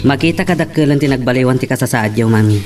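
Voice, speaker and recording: A man's voice: medium pitch, quick pace, music in the background, natural voice. A man playing a reassuring, earnest grown son in a radio drama, with an Ilocano Filipino accent. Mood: reassuring, resigned. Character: reassuring, earnest adult son running family business.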